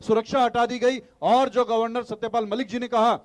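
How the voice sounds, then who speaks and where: A man's voice: very high-pitched, fairly even intonation, moderate pace. A man speaking as an opposition party spokesman in a heated TV debate, insistent and argumentative, pushing on through interruptions.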